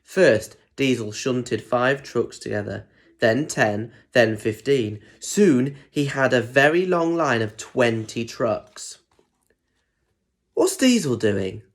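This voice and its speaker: A man's voice: mid-range voice, slow. A man reads a picture book aloud for young children. He narrates expressively, then breaks off into chatty asides, asking simple questions about what the pictures show. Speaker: storyteller reading aloud to children.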